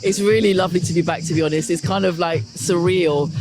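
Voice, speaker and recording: A man's voice: high voice, steady tone, fast talker, background noise. A man at a festival giving a short street interview, sounding enthusiastic and gushing. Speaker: festival-goer. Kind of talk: vox pop interview. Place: outdoor music festival. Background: loud dance music.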